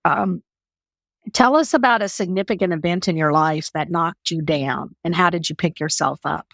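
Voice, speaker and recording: A woman's voice: on the low side, normal speed, vocal fry, no room sound, clean studio sound. A woman hosting a conversational interview, speaking as an empathetic, encouraging listener who shares personal stories. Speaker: podcast host.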